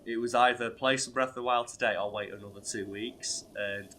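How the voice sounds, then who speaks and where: A hoarse, ill man swearing freely as he plays a video game and tells a story. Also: on the low side, fairly even intonation, brisk, background noise. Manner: swears freely, bursts into loud outbursts. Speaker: co-host playing a video game. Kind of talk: casual gaming chat with storytelling.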